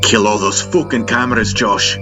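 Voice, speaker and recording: A man voicing an angry, bossy, foul-mouthed male pilot shouting orders in a sci-fi audio story, music behind. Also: fairly low pitch, fairly even intonation, moderate pace, pitched above the performer's own voice, close to an everyday voice. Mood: angry, urgent. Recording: music bed under the voice.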